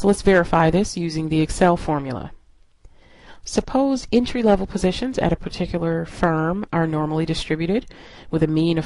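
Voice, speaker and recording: A woman's voice: fairly low pitch, darkish tone, dry recording, poor audio. A woman instructor narrating a lesson in an explanatory, step-by-step way. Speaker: statistics instructor.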